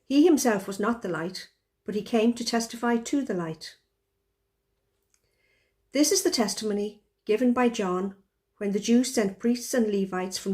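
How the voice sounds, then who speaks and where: A woman reads scripture aloud as a lay reader. Her voice sits at an average pitch as she delivers the written passage. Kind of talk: scripted Bible reading aloud.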